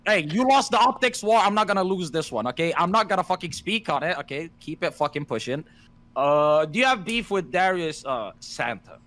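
A brash young man from Somalia runs a group video call, bossy and full of swearing. His voice sits fairly high and swings widely. The sound is thin video-call audio. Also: very animated, brisk, fairly bright tone. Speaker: podcast host.

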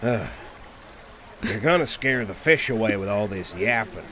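A man playing a gruff, teasing country fellow in a roleplay audio, grumbling in a folksy way. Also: on the low side, expressive, measured pace, a bit bright.

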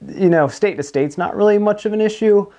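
A man's voice: sits high, fairly quick, warm tone, muffled recording. A man in his thirties speaking as a broker being interviewed, explaining in a conversational way in a small office. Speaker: marine broker, interview guest. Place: small office meeting room, indoors.

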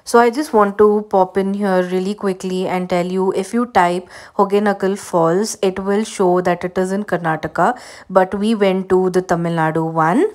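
A woman's voice: medium pitch, fairly even intonation, normal speed, a little mellow. A young adult woman with an Indian accent, narrating a travel vlog in a casual way. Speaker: travel vlogger.

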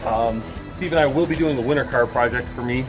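A man's voice: mid-range voice, a little fast. A man in his forties chatting casually about his cars, telling long stories with many fillers and restarts, recorded first inside a car and then outdoors in a driveway. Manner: rambling storyteller, fillers and restarts. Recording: background music under the talk.

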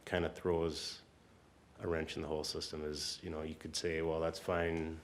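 A man speaking as a city staff member, explaining things at a council meeting in an informal, halting way. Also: rumbling low, little pitch movement, a little hiss or hum.

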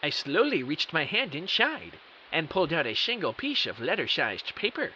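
A man's voice: fairly high pitch, sing-song, normal speed, pushed and bright, full character voice. A man playing a bewildered computer technician who narrates his own mystery audio drama with earnest, melodramatic emphasis. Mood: dramatic, intrigued. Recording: dull, hissy audio.

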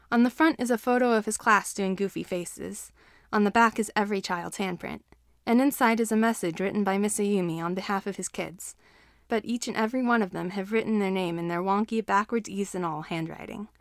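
Female story narrator, mid-pitched, dry sound. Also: dry recording. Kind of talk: scripted story narration of fan fiction.

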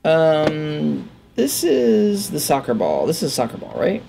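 A man's voice: on the high side, huge pitch swings, unhurried, vocal fry, music in the background. A man giving casual, offhand gameplay commentary, musing aloud to himself into a headset mic. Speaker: gaming let's player. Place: indoors at a desk.

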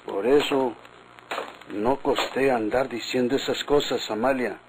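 An actor playing an anxious, deferential Mexican village husband in a vintage radio drama, cautious and meek, on a noisy old recording. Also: male voice, mid-range voice, fairly even intonation, unhurried, close to an everyday voice. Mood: nervous, scolding.

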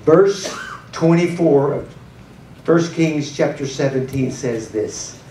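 A middle-aged man preaching with lots of energy, his voice sitting high for a man. He moves about a church stage with a handheld mic. The sound is roomy and a little distant, caught from across the hall. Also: takes it slowly, a little hiss or hum. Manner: animated preaching, gesturing and pacing the stage. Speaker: pastor. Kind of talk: sermon.

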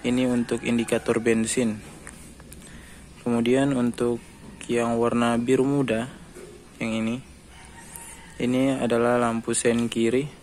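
Slow, flat-voiced man explaining. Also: mid-range voice, slight background noise. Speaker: motovlog host. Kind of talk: casual how-to vlog. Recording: music bed underneath.

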